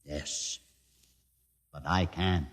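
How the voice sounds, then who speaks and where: An actor playing a grave, formal leader of outcast mutants in a 1950s radio drama, speaking with solemn deliberation. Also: male voice, low-pitched, fairly even intonation, very slow. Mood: grave, measured. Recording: vintage radio recording, long hall reverb.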